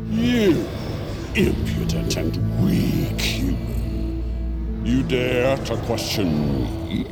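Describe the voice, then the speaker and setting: An actor playing an imperious, wrathful vampire-gargoyle villain in an audio drama, declaiming in a grandiose, theatrical way. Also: male voice, mid-range voice, huge pitch swings, extremely slow, loud music behind the voice, heavily acted. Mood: menacing, wrathful.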